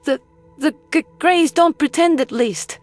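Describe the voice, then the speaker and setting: A high, stammering female alien played by a woman in a science fiction audio drama. She is traumatized and bitter, speaking with agitated intensity about what frightens her. Faint music sits underneath. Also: a little slow. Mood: bitter, horrified. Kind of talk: dramatized audiobook character acting.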